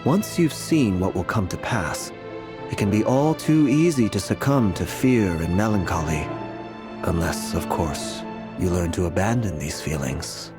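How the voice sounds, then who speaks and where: Fantasy game seer: calm, wise, guarded, grave formal delivery, lower register, faint musical bed. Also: male voice, measured pace. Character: calm, solemn male prophet, wise and guarded. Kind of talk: dramatic video game voice acting. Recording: background music under the voice.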